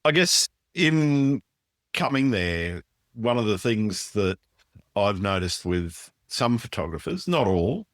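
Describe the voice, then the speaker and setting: Very deep-voiced male podcast host. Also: very animated, slow pace, no echo, clean studio sound. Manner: brief affirming responses, long winding questions. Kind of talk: interview questions.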